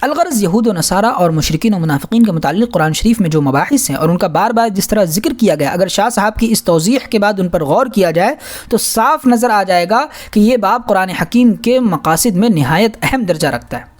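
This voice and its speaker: A woman reading a scholarly religious book aloud as an audiobook narrator, in a formal, measured way. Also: medium pitch, expressive, darkish tone. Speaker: audiobook reader.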